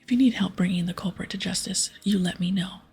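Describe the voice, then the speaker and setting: Breathy, chatty innkeeper played by a woman. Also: lowish voice, fast, a little mellow, faint music behind. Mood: shocked, earnest. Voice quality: breathy, half-whispered. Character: friendly tavern innkeeper, gossipy and helpful.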